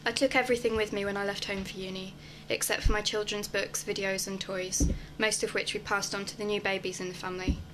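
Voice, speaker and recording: A woman's voice: mid-range voice, little pitch movement, fairly quick, hardly any pauses, a bit bright, slight background noise, close to an everyday voice, poor audio. A young woman acting in a radio play, delivering scripted personal monologues. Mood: wistful. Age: young adult. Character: wistful young woman longing for home.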